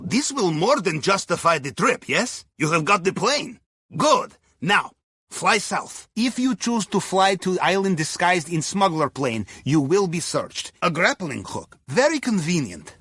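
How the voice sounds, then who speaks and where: Wry, high-pitched Russian submariner. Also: male voice, pushed and bright, slightly rough, dry recording, studio quality, heavily acted. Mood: pleased. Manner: wry, clipped phrasing with dropped articles and tag questions. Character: wry, cheerful Russian submarine captain's mate and heist planner. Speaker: mission guide. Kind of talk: video game voice acting, mission briefing.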